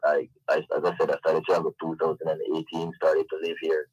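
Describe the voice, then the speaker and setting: A man's voice: extremely low pitch, completely flat delivery, fairly quick, a little mellow, husky, dull audio. A man talking casually as an interview guest, often dropping in "you know". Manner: conversational, frequent "you know". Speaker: interview guest, event organiser.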